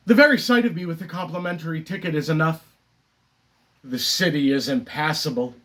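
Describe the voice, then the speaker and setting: A man performing a stage comedy monologue as a neurotic, resentful theatre critic, declaiming dramatically and deliberately. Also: a little high, fairly bright tone, lightly acted. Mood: brooding, grandiose. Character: neurotic male theatre critic consumed by second-string resentment.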